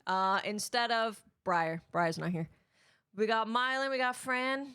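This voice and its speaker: A woman's voice: on the high side, unhurried, sharp tone, clear, resonant tone. A woman co-hosting a gaming podcast in casual, chatty conversation. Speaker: podcast co-host.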